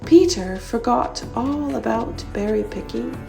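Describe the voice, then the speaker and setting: A woman reading a children's story aloud with expressive, unhurried narration. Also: on the high side, vocal fry, faint music behind.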